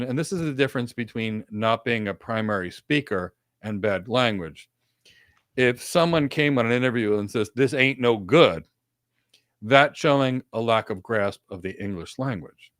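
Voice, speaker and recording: A man's voice: medium pitch, fairly bright tone, dry recording, studio quality. A man co-hosting a live career question session on a webcam, giving earnest advice in short repeated instructions from a home office with several monitors. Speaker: co-host answering career questions. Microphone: desk webcam setup, video call.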